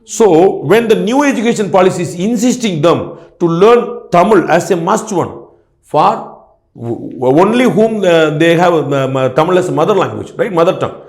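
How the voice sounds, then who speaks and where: A man's voice: high voice, animated, moderate pace, echoey room. Male interview guest, pointed and repetitive, with many fillers and restarts. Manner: rhetorical questions, frequent fillers and restarts. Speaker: political guest commentator. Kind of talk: interview answers, argumentative.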